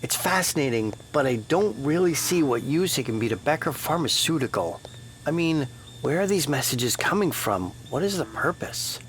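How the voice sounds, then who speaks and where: An actor playing a thoughtful, skeptical male test subject in an audio drama, with a halting, pondering manner. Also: a little high, big pitch swings, a bit bright, a little hiss or hum, rough recording. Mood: pondering, puzzled. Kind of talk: naturalistic audio drama acting.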